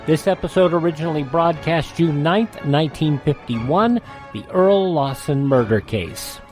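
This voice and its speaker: A man's voice: fairly high pitch, unhurried, darkish tone, faint music behind. A man hosting a radio show, delivering lightly scripted announcements and listener plugs in a presenter's style. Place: dry studio, music behind.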